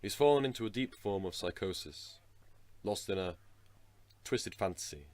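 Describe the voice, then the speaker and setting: An actor in a radio drama playing a calm, probing male doctor, measured, clinical and terse in manner. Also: low voice. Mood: grave.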